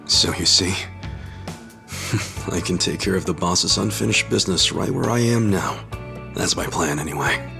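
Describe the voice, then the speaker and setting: A deep earnest ex-yakuza hero, loyal type, video game with music under it. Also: male voice, normal speed, gravelly. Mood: earnest, resolute. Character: loyal, big-hearted adult ex-yakuza hero. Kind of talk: English video game voice acting.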